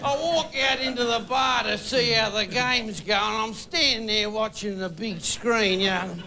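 Older yarn-spinning comic, high gravelly voice, bright edge, keeps saying "you know". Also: male voice, fairly even intonation, measured pace, very noisy. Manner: folksy, repeats "you know". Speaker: stand-up comedian. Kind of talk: rambling storytelling stand-up. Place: TV studio stage. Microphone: handheld microphone.

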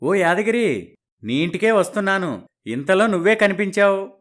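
A man's voice: high-pitched, very animated, normal speed, a bit bright. A man playing a breezy, persuasive middle-aged matchmaker with a scheming streak in a dramatized moral story.

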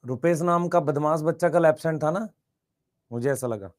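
A man teaching biology in an online class with a slightly hoarse throat, repeating his questions and urging students to answer quickly in the chat. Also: on the high side.

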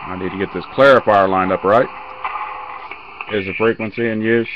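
Middle-aged man, fairly low voice, casual hobbyist demonstration, dull lo-fi camera sound, clear radio hiss behind. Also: fairly even intonation, moderate pace. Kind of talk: casual hands-on demo narration. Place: cluttered indoor radio workbench. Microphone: handheld camera mic. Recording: muffled low-fi camera audio, loud radio static. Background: radio static and hiss.

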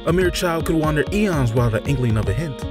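A man narrating a scripted fantasy story in a measured, deliberate, theatrical storytelling manner. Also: on the high side, lively intonation. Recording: music behind the voice. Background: background music.

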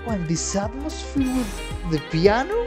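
A man's voice: sits high, expressive, normal speed. A man giving casual, exclamatory, slangy reaction commentary as a musician watching game trailers. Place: home room with instruments. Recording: game music playing behind the voice.

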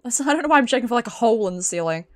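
A British woman livestreaming a horror game, thinking out loud in casual, sweary commentary and reacting as she plays. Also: fairly high pitch, animated, racing.

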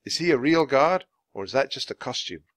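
A man's voice: mid-range voice, expressive, a little fast, a bit bright, no room sound, studio quality, lightly acted. A man voicing a cautious, studious and earnest young boy in a children's audiobook. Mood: earnest, wary.

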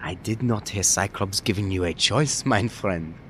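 A man's voice: low-pitched, moderate pace, slight background noise, natural voice. Man as taunting, impish mutant hero. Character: teasing, mischievous male mutant superhero. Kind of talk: audio drama acting.

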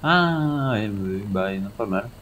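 A man's voice: lowish voice, lively intonation, normal speed, fairly bright tone, slight background noise. A man chatting casually in an online roleplay game, easygoing and accommodating. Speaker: roleplay game player as driver.

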